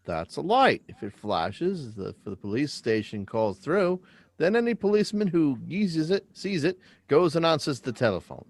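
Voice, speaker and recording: A man plays a schoolboy in a radio drama. His mid-pitched voice moves in lively swings and sounds dry and close. He is earnest, curious and courteous, with a persistent questioning streak. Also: normal speed, dry recording. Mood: explaining. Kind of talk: live script reading of a radio drama.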